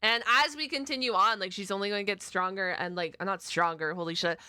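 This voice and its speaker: A woman's voice: mid-range voice, fast, sharp tone, clean tone, no echo, studio quality. A woman giving casual, slangy, gushing reaction commentary as a video host.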